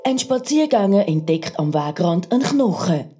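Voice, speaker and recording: A woman's voice: fairly low pitch, sing-song, moderate pace, mellow. A woman playing a conceited, overeager crime reporter, delivering an ominous, sensational radio report with a Swiss German accent. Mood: dramatic. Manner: melodramatic, ominous.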